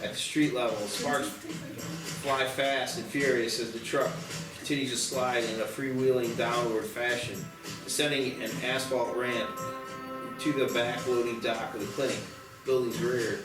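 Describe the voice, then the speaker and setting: A man's voice: mid-range voice, level tone, unhurried, far from the mic. A man reading scripted action and stage directions as narrator at a screenplay table read. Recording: roomy, off-mic, music behind.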